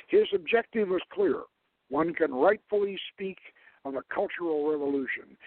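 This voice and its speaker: A man hosting a radio talk show, lecturing in a deliberate, halting way. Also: on the high side, no room sound. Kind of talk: talk radio lecture, partly read aloud. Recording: tinny, phone-like radio line.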